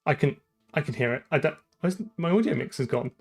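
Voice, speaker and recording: A man's voice: medium pitch, fairly quick, slightly rough, faint music behind. A man chatting casually on a live coding stream, thinking aloud in an informal way. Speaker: streamer and game programmer.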